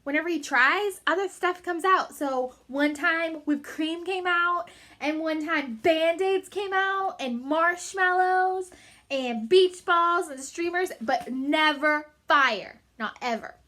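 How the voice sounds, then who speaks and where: A woman reading a story aloud to children, emphatic and animated, with chatty asides. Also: extremely high pitch, takes it slowly. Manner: animated, emphatic, addressing young listeners.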